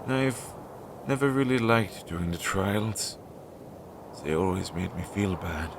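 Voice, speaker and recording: A man's voice: takes it slowly, exaggerated voice. A man playing an anxious, insecure but tender crow familiar in an audio roleplay, talking to the listener in a hesitant, earnest way. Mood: resigned, explaining. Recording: clearly noisy low-quality recording.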